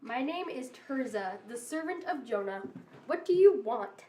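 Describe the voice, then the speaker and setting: A woman's voice: on the high side, animated, measured pace, dark tone. A woman playing a curt, impatient servant in an amateur audio drama. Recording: echoey room, far from mic.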